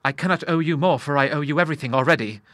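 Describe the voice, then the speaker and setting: A man's voice: a little high, racing, fairly bright tone, no room sound, clean studio sound, close to an everyday voice. A man voicing an earnest young businessman in money trouble who speaks formally and with feeling.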